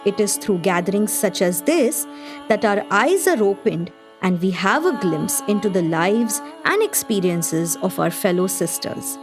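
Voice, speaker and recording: Gracious medium-pitched hostess of a literary gathering. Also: female voice, sing-song, unhurried, music in the background. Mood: delighted, gracious. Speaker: host. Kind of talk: scripted radio play hosting.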